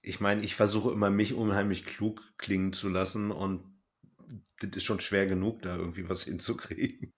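A man's voice: low-pitched, little pitch movement, moderate pace. A man chatting casually as a podcast co-host, in a hesitant, rambling way that often trails off mid-thought. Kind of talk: casual podcast chat reviewing an audio drama.

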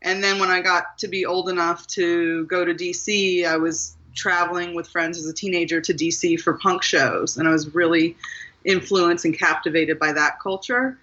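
Guest author, woman, 40s, bright lower voice, thoughtful with ums, dull lo-fi line. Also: level tone, moderate pace. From southern Maryland, USA. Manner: thoughtful, with frequent ums and restarts. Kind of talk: interview conversation.

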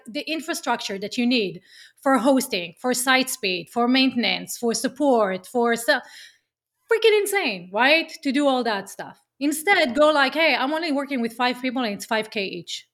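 Energetic Israeli woman, bright and emphatic. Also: high-pitched. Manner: energetic, emphatic, keeps asking "right?", swears casually. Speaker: podcast guest, business coach. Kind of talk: casual interview chat.

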